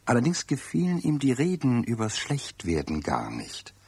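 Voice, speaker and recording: A man playing a genial Bavarian storyteller, narrating a children's radio play in a husky, rough voice. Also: mid-range voice, huge pitch swings, moderate pace, darkish tone, no room sound. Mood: amused, confiding.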